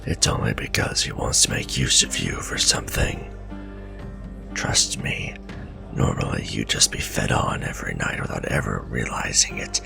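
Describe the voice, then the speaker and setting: A man playing a gruff, centuries-old werewolf vampire hunter, bitter and distrustful, growling grim, breathy warnings in a dramatic fantasy ASMR roleplay. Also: exaggerated voice. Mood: wary, warning. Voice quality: very deep, gravelly, breathy growl. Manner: grim, scornful, slow and deliberate warnings. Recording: voice over clear background music. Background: music.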